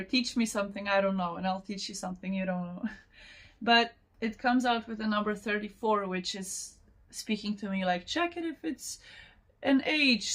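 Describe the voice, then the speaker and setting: Slow, meandering woman reading oracle cards. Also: medium pitch, fairly bright tone. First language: not English. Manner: slow, meandering, laughs often between thoughts. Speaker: tarot and oracle card reader. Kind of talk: casual spiritual reading to camera.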